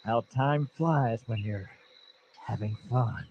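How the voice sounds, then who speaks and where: An actor playing a nervous, paranoid middle-aged man who stammers and breaks into shrill outbursts, in an old-time radio drama style. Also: slow, a little hiss or hum. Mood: uneasy. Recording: dull lo-fi recording.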